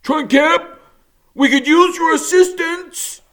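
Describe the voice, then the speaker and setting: A man's voice: very high voice, takes it slowly, fairly bright tone, pitched above the performer's own voice, exaggerated voice. A man voicing a cheerful, confident beaver craftsman in a storybook, with a hearty, slightly stammering, exclamatory delivery. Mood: hearty, calling out. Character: busy, confident old-fashioned male beaver craftsman, cheerful.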